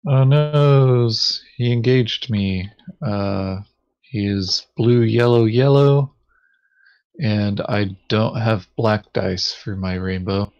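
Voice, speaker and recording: A man's voice: on the low side, slow, a little mellow, clear, resonant tone, lo-fi sound. A man chatting casually as he plays a board game, hesitantly thinking aloud. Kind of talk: casual game play chat.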